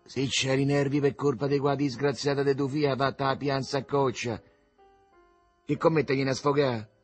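A man's voice: mid-range voice, level tone, normal speed, faint music behind, lightly acted. A man voicing a sullen, insolent Roman youth of nearly twenty in a storybook, bitter and contemptuous, with a Roman accent. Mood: irritated. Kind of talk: dramatic audiobook character reading.

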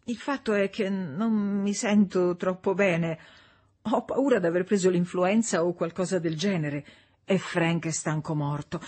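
A woman reading an audiobook voices a young wife being chatty and politely bright on the telephone. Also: on the low side, measured pace, slightly rough.

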